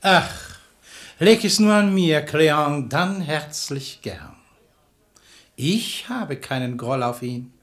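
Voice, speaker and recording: Slow, swooping, sanctimonious hypocrite. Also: male voice, on the high side, lively intonation, a bit bright. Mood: pious self-justification. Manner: unctuous, theatrical, plaintive, self-pitying. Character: Tartüff, sanctimonious scheming male hypocrite feigning pious suffering. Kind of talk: classical verse stage comedy as radio play.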